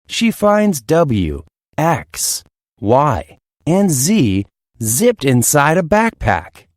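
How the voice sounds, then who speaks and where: A woman reads a children's story as narrator. She goes slowly with very lively intonation, and the sound is dry and close. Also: deep voice, no echo. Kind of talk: expressive children's read-aloud narration.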